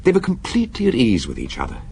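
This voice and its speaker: An actor playing a deferential, dryly amused police subordinate in a radio drama, reporting in a deliberate storytelling way with small hesitations. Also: male voice, on the low side, wildly swooping pitch, gravelly, a little mellow, husky, full character voice.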